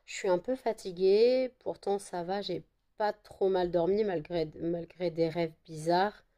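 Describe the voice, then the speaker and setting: Woman with a cold, low warm voice, rambling casual vlog, lots of fillers. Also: unhurried. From France. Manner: meandering, many fillers and restarts. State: still has a cold. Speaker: vlogger, candle maker. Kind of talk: casual rambling chat to camera. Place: at home indoors.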